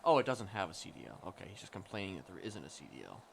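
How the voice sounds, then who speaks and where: A man's voice: low-pitched, sing-song, racing, gravelly, exaggerated voice. A man playing an easygoing, agreeable male character in improvised game roleplay, chatting and explaining casually over faint background noise. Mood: helpful.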